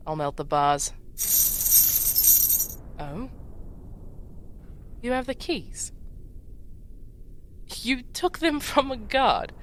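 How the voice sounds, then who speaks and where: A woman playing a fierce, commanding demon chieftain in a fantasy audio drama, speaking with imperious, deliberate menace and a wry edge. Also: mid-range voice, a little hiss or hum. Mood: amused, battle-eager. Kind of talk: fantasy audio roleplay acting.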